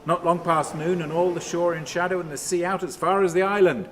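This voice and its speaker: An actor playing a haunted, restless man in a radio play monologue, halting and repetitive, moving between muttering and command. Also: high-pitched, lightly acted. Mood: rambling, bitter reminiscence. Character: haunted, rambling man talking to his dead father, brooding and restless. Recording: clearly noisy, steady ambient sound.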